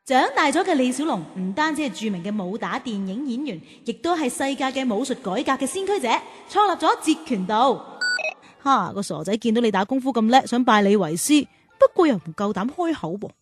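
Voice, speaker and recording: A woman playing a friendly, chatty adult guide to children in a radio drama, with an animated storytelling delivery. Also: fairly high pitch, fairly quick, faint music behind. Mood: explaining.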